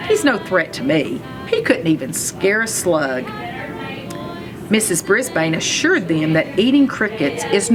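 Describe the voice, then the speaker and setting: A woman reading a children's storybook aloud in an expressive, animated storytelling manner, telling it as a first-person narrator. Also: mid-range voice. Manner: animated storytelling with acted sound effects.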